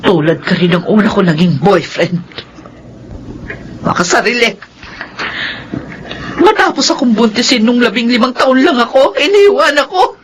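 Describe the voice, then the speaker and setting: A woman's voice: fairly high pitch, big pitch swings, normal speed, pauses often, slight background noise, low-quality recording. A woman playing a stern but caring middle-aged Filipino mother with a painful past, in an earnest radio drama style. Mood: angry reproach. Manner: emotional, earnest, admonishing. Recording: music under the voice.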